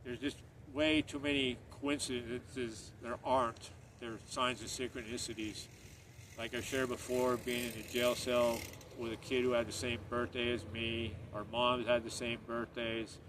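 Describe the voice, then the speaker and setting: Middle-aged man from Northern Ireland, rambling vlogger, monotone, recorded from off-mic outdoors. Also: mid-range voice, measured pace. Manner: earnest, rambling, repeats himself. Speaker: channel host. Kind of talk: casual monologue to camera. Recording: distant mic, street noise.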